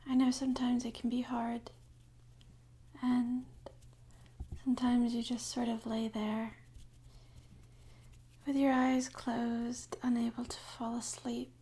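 A woman speaking softly and half-whispering in a gentle, soothing, unhurried way, as an ASMR guide leading a sleep meditation. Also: fairly high pitch, flat delivery. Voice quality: soft, breathy, half-whispered.

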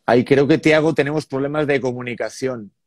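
Man, thirties, Spain Spanish accent, mid-pitched, chatty stream host. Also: fairly quick, no room sound, clean studio sound. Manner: chatty, relays viewer comments. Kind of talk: live-stream interview. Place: indoor room with colored lights. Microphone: studio mic on stand.

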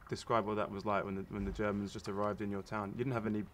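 Young man, interviewer, low-ish voice, soft-spoken, faint background noise. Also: little pitch movement, fast talker. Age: young adult. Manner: soft-spoken, prompting with short murmurs. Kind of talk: gentle interview questions. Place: sunny garden outdoors.

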